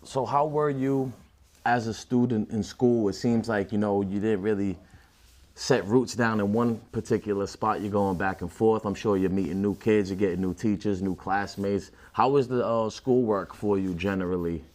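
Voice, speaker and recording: A man's voice: fairly low pitch, fairly even intonation, normal speed, darkish tone. A man hosting a podcast, asking questions in a casual conversational way. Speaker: podcast host.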